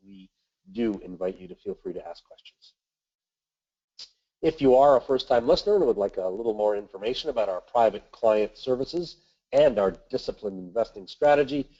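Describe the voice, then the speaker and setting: A man with a nasal voice from a cold, hosting a weekly investment webinar and reading market commentary in a measured way with the odd filler. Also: lowish voice, mellow, muffled recording. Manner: measured, reads from notes with filler uhs. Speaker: webinar host, investment manager.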